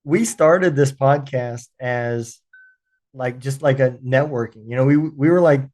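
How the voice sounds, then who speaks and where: Man, podcast host, veteran, casual chat, halting, lots of restarts. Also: medium pitch, darkish tone. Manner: halting, frequent restarts and fillers.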